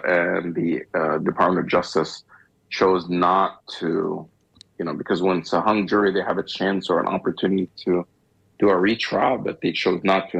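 A man's voice: low-pitched, vocal fry, breathy edge, highs cut off. A man from Egypt co-hosting a talk radio show, discussing politics in a rambling way with frequent restarts and wry chuckles.

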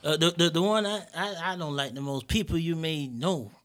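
A man with an American accent in casual podcast conversation, animated and often stammering over repeated words. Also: fairly high pitch, fairly quick. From Jamaican background. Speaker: podcast co-host. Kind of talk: casual group chat.

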